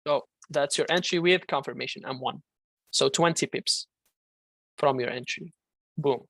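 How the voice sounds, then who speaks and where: A man runs a live online trading lesson in a loose, coaching way, answering chat questions as they come. His voice sits fairly high with a gravelly edge. The sound is close and dry. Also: normal speed, a bit bright, no room sound. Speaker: trading instructor.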